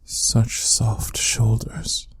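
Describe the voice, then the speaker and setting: A man playing an obsessive, devoted butler in an ASMR roleplay, speaking formally and intimately in a soft, breathy, dark voice. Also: on the low side, extremely slow, full character voice. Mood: reverent sorrow. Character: devoted, obsessive yandere butler, tenderly protective of mistress. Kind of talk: ASMR audio roleplay acting.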